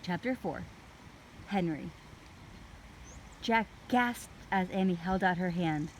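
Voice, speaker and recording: A woman reading a children's book aloud in an expressive, deliberate storytelling manner. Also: on the low side, a little hiss or hum, rough recording.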